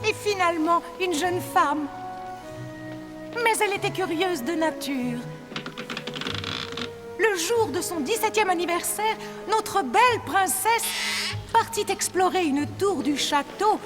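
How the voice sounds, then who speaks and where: Very high-voiced, kindly good fairy. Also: female voice, heavily acted. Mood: tender. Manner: gentle, hesitant, drawn-out. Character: kindly, apologetic good fairy, sweet and flustered. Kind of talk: animated fairy tale dub. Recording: long hall reverb, music underneath. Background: music.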